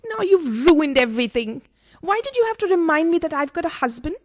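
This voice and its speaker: A woman's voice: extremely high pitch, big pitch swings, fairly quick. A woman acting a nervous, guilt-ridden married mistress in a radio farce, fretful and melodramatic. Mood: guilty, petulant. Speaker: radio play actor. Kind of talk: farcical radio play acting.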